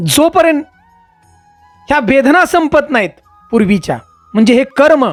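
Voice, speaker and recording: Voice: sits high, animated, normal speed, no echo, soft background music, voice pushed up. A storyteller voicing a patient, learned male astrologer, explaining past-life karma emphatically and repetitively. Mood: earnest, resigned.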